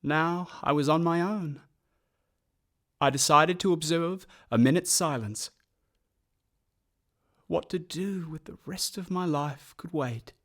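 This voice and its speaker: A man's voice: a little high, slow pace, long pauses, fairly bright tone. A man with an Australian accent acting as a wry, solemn narrator looking back on his orphaned boyhood in a radio play. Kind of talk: first-person scripted radio play narration.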